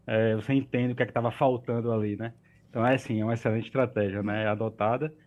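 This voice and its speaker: A male geriatrician speaks on a radio call-in program in a mid-pitched voice. He explains in a calm, measured way, often checking in with a quick filler. His tone is reassuring and informative. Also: lo-fi sound. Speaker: doctor, geriatrician guest. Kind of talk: radio health advice.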